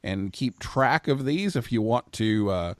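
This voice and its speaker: Unhurried male radio host, dry sound. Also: mid-range voice, expressive, no room sound. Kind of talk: casual live interview.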